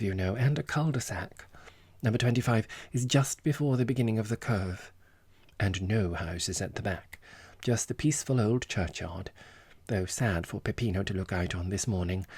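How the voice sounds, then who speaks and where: A man's voice: on the low side, moderate pace, a little mellow, slightly rough, no echo. A man voicing a genteel, coyly self-important society lady in an audiobook, with precious phrasing and theatrical reluctance. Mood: gracious, affected. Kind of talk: audiobook character dialogue.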